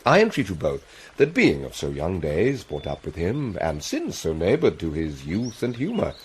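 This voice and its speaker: A man's voice: sits low, huge pitch swings, normal speed, darkish tone, breathy edge, full character voice. An actor playing a commanding, courtly middle-aged king with stately delivery in a classical Shakespearean drama. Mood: gracious, concerned.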